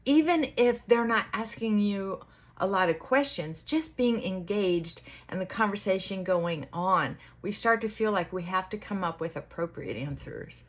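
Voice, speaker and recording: Woman, unhurried slow pace, mid-range pitch, casual vlog chit-chat, reflective, halting delivery. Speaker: vlogger.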